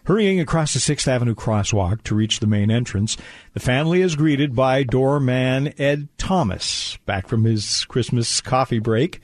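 Man acting as holiday radio drama narrator, medium male pitch, scripted, measured storytelling, no room echo. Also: studio quality, close to an everyday voice. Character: storybook narrator of a 1950s Christmas radio play.

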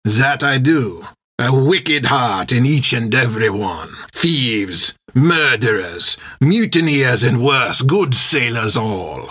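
A man voicing a suave, boastful, sinister Frenchman, speaking formally and deliberately in an audiobook adventure. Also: mid-range voice, fairly bright tone, slightly rough. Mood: relishing.

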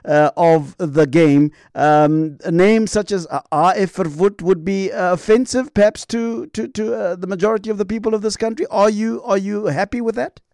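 A man hosting a live radio interview, his voice smooth and resonant and a little higher than most men's. He presses his guest hard and cuts in with halting restarts. The sound is close and dry, with no room around it. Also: a little mellow, no room sound. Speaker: radio talk show host.

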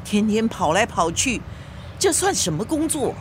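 An actress as a nagging older Singaporean mother, animated. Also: mid-range voice, normal speed, a little hiss or hum, lightly acted. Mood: nagging, exasperated. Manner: scolding, reproachful, argumentative. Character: nagging, aggrieved elderly mother, a grandmother, fretting over daughter. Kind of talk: radio drama acting.

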